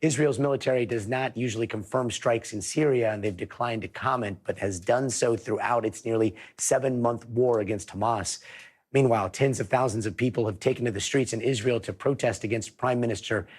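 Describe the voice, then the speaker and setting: A hoarse man anchoring a news show, reading stories with playful puns in between. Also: medium pitch, brisk. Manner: punny, playful asides. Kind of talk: news presenting.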